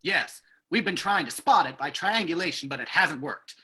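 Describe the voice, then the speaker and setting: An earnest male security agent voiced by a radio player, husky yet bright and forceful, at a middling pitch. He speaks in old-time radio drama style. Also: expressive, moderate pace, heavily acted.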